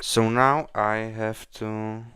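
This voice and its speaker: A man from the Czech Republic with a Czech accent, chatting casually on a live coding stream in halting, hesitant non-fluent English. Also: fairly low pitch, little pitch movement, pushed and bright, clean tone, no echo, clean studio sound. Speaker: game developer live streamer. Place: indoors at a computer, keyboard clicks behind.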